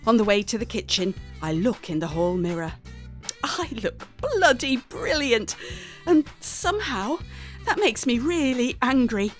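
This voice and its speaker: British woman, story reader, first-person dramatic narration, lively swings in pitch, slightly unhurried, wry exasperation. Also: soft background music.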